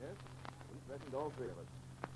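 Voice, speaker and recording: An actor playing an anxious, earnest doctor with a past as a fugitive, telling things gravely in an old radio drama. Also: male voice, medium pitch, animated, normal speed, darkish tone, natural voice. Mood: grave, recounting. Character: earnest male doctor, former island fugitive, anxious and measured. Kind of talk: 1930s radio drama acting. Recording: muffled, noisy old radio recording.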